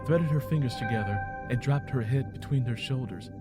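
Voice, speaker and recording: A man's voice: mid-range voice, fairly quick, loud music behind the voice. A man who works as a podcast host and storyteller, speaking to listeners. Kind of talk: conversational interview and scripted audio drama narration.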